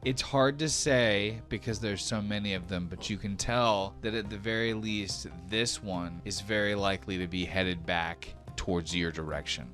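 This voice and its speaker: A man with a fairly low voice runs a tabletop role-playing game. He talks through rules and scenes in an unscripted, conversational, explanatory manner. Faint music plays behind him. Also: normal speed, hardly any pauses, fairly bright tone.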